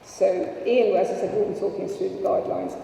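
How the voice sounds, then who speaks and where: A woman's voice: medium pitch, steady tone, fast talker, gravelly, mellow, a little hiss or hum. A woman chairing a conference panel, introducing speakers in a chatty, self-deprecating way with many fillers. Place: conference hall. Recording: echoey hall from far off.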